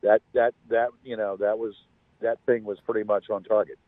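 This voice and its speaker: An under-the-weather man talks casually over a narrow, dry phone line. His voice is on the low side. He rambles and halts, often repeating himself. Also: little pitch movement, no echo. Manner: halting, repetitive, rambling. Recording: thin, phone-like call audio.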